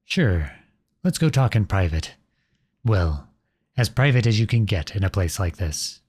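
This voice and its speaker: A man playing a protective, noble outlaw prince in an earnest, dramatic ASMR audio roleplay, with a soft, husky voice close to the mic. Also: lowish voice, animated, a little slow, dry recording. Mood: urgent, resolute. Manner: earnest, dramatic, addresses unseen listeners directly. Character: outlawed young prince, protective, noble, devoted to his beloved. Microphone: close studio-style microphone, dry.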